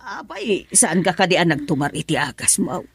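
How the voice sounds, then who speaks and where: A woman's voice: on the low side, sing-song, moderate pace, creaky, breathy edge, no room sound, heavily acted. A woman playing a middle-aged mother who anxiously pleads with and cares for her sick daughter in a radio drama. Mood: worried. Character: worried mother caring for her ill daughter.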